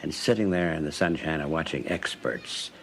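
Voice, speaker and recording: A man speaking in a measured, nostalgic way, as in a reflective documentary interview. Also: extremely low pitch, vocal fry, a little hiss or hum.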